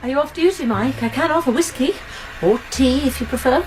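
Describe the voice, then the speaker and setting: An actress playing a sensible, caring college nurse in a British radio drama, speaking in a measured, articulate, earnest way with a British accent. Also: female voice, sits high, darkish tone, soft background music, lightly acted, low-quality recording. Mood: friendly, guarded. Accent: British English. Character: college nurse, sensible, principled, caring woman amateur sleuth.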